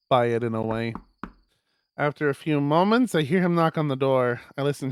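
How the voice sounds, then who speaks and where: A man streaming a visual novel, reading it aloud as expressive narration with casual asides. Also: medium pitch, clear, resonant tone, no echo.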